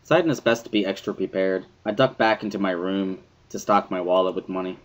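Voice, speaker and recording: A man's voice: deep voice, fairly even intonation, brisk. A man reading first-person visual novel narration in an introspective storytelling manner. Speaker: dramatic reader of a visual novel.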